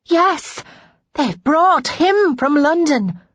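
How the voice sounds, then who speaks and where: A woman voicing an excitable, deferential lady's maid in an audiobook, speaking with urgency. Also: on the high side, a little slow, slightly rough, no room sound, pitched above the performer's own voice. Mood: excited, urgent. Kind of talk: audiobook character dialogue.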